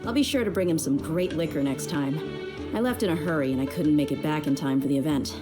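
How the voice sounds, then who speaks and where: A woman's voice: sits low, quick pace, over music, close to an everyday voice. An actress playing a tough, frank female mercenary leader in a game, easygoing and teasing. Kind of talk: video game cutscene dub.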